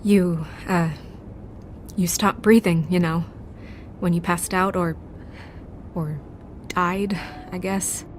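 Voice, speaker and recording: A woman playing a stern but caring leader in a video game, reserved and proper, with measured, careful delivery. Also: fairly low pitch, steady tone, a little hiss or hum. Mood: shaken, tender.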